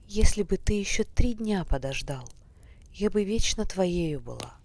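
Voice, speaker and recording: A man voicing a wise enchanted young princess in a storybook tale, pleading in a drawn-out farewell. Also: high-pitched, expressive, a little mellow, voice pushed up. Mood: sorrowful.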